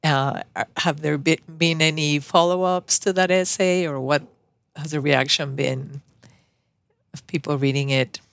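An interviewer asking warm, appreciative questions in easy conversation. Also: mid-range voice, steady tone, a little slow, creaky.

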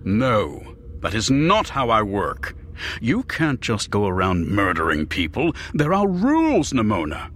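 An actor playing an exasperated, principled supervillain in an audiobook drama, flustered and theatrical in manner. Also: male voice, lowish voice, huge pitch swings, unhurried, fairly bright tone, slight background noise, heavily acted. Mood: stern. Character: exasperated, principled male supervillain with a false arm. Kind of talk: full-cast audiobook drama.

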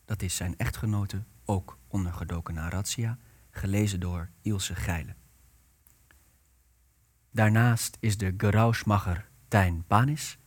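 A young man narrating a radio play, reading out the cast and stage directions in a measured, deliberate way. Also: fairly low pitch.

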